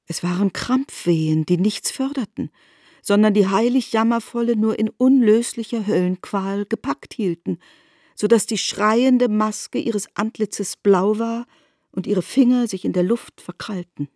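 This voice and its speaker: A woman narrating a literary radio drama as a knowing storyteller, in a measured, articulate manner. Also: mid-range voice, darkish tone, dry recording, studio quality. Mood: grave.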